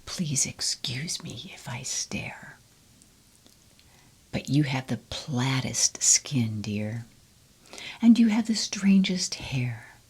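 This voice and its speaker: A woman voicing a lovesick male turtle who pleads softly in a whisper. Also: extremely low pitch, animated, slow, long pauses, husky, heavily acted. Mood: tender pleading.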